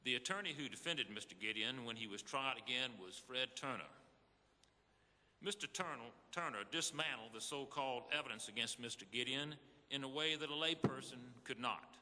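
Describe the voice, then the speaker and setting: Man playing a bright, forceful formal justice. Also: medium pitch, normal speed. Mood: earnest, emphatic. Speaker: reader in a readers theater re-enactment. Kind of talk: scripted dramatic reading to an audience. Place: large hall before an audience. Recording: echoey hall with long reverb.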